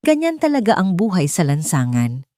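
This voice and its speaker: A woman's voice: fairly low pitch, wildly swooping pitch, normal speed, warm tone, no echo, clean studio sound. A Filipino-accented woman voicing a haughty, cold, rich older mother in an audio drama, melodramatic and condescending. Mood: bitter disdain.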